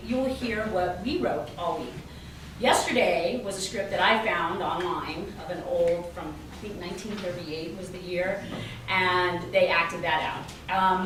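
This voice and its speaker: A woman's voice: medium pitch, a bit bright. A woman drama instructor and director giving an informal spoken introduction to an audience. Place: indoor venue with lobby, speaking to audience. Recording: very echoey room, distant mic, faint noise.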